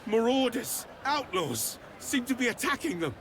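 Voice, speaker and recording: A man's voice: very high-pitched, sing-song, normal speed, fairly bright tone, slight background noise, exaggerated voice. An actor playing an anxious, servile messenger to a king in a medieval video game, apologetic and deferential. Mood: nervous, urgent. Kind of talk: theatrical video game acting.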